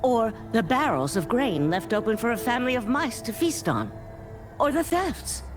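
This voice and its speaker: A woman's voice: a little high, very animated, normal speed. A woman playing a theatrical, commanding member of a sisterhood in a fantasy video game. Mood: dismissive. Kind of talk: dramatic video game voice acting. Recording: music underneath the voice. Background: game music.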